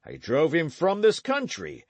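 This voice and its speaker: A man voicing a gruff, wrathful old knight in an audiobook, speaking in archaic, commanding tones. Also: medium pitch, very animated, unhurried, no echo, pitched above the performer's own voice. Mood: raging. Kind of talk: audiobook character reading.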